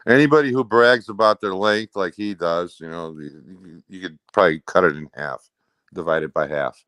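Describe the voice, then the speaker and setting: A man's voice: low-pitched, normal speed, a bit bright, no room sound. An American man in casual conversation, talking in a blunt, rambling way. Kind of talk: casual chat.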